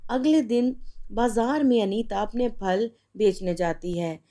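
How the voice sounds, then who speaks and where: A woman's voice: a little high, slow pace, warm tone. A woman narrating a scripted children's fairy tale as the storyteller.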